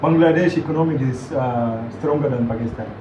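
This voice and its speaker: A muffled, roomy recording carries a South Sudanese man hosting a casual reaction chat. He sounds set back from the microphone, with a mid-pitched voice and clear background noise. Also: fairly quick. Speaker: reaction video host. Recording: roomy, distant mic, muffled and noisy.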